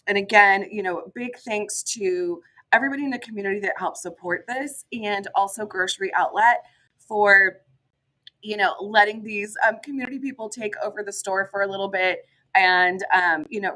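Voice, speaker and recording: A woman talks through community updates in a loose, chatty way, with ums and you-knows scattered through. Her voice is hoarse and a little rough from a head cold. It sits at a middle pitch for a woman. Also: moderate pace, sharp tone. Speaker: chamber of commerce director hosting a morning show. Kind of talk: casual solo update to camera.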